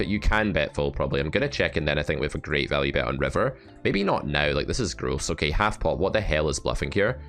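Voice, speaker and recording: A man's voice: a bass voice, fairly bright tone. A man coaching poker with live play-and-explain commentary, talking in a blunt, wry, sardonic way.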